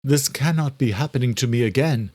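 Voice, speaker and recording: A man's voice: mid-range voice, a little fast, a little mellow, no echo, voice pushed up, lightly acted. A man voicing a wry, determined doctor of science in a horror audiobook. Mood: dismayed.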